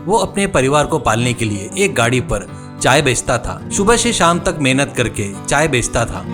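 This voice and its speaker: A man's voice: medium pitch, big pitch swings, moderate pace, music in the background. A man narrating a scripted moral cartoon story as its storyteller.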